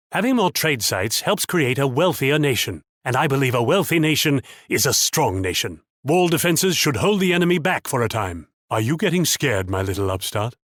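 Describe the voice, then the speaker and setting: An actor playing a proud, wealthy military ruler in a video game, pompous and condescending in a theatrical way. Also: male voice, mid-range voice, a bit bright, no echo, studio quality. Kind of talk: video game character voice lines.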